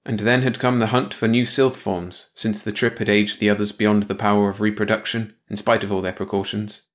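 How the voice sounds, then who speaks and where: A man with a slightly low voice narrates a story. He reads from a script as an audiobook narrator, in the style of a bedtime read-along. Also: flat delivery, a little fast.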